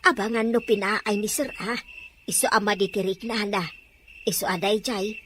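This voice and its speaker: A woman's voice: mid-range voice, steady tone, normal speed, noisy background, close to an everyday voice. A woman playing a cheeky, gossipy household maid in a radio drama, with a teasing manner and a Filipino accent.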